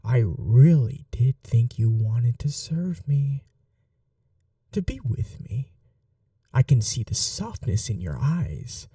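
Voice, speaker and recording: A cruel yet doting vampire mistress, acted by a woman for an ASMR roleplay. The voice is soft, breathy and often whispered, with slow delivery and swooping, expressive pitch. It has a very dark, velvety tone and coaxes with possessive tenderness. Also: no echo, clean studio sound, distant mic, exaggerated voice. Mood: wounded, bitter. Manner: slow, coaxing, tender with pet names. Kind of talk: intimate ASMR roleplay acting.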